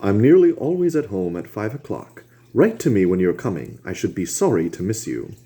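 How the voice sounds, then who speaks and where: A man's voice: on the low side, big pitch swings, brisk, warm tone. A man voicing a polished, witty male aristocrat who speaks politely and unhurriedly. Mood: suave.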